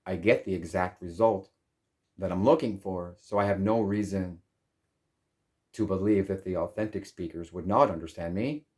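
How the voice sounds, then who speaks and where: A man whose first language is English, hosting his own channel and speaking calmly and deliberately in measured phrases. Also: low-pitched. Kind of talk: slow reflective monologue.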